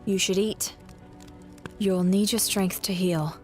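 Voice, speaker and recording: A woman playing a sharp-tongued but caring young female bard in an audio drama, wry and matter-of-fact. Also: mid-range voice, steady tone, slow, faint music behind, natural voice. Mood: caring, brisk. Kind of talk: fan audio drama acting.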